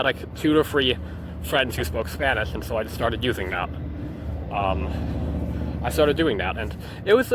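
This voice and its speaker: A man's voice: fairly high pitch, background noise. Rambling young man with restarts. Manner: rambling, hesitant, many restarts and fillers. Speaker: vlogger. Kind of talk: rambling journal vlog.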